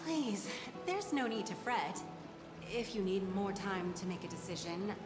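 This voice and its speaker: Calm, echoey female merchant played by an actress. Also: medium pitch, measured pace, over music, natural voice. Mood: reassuring. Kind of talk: game dialogue.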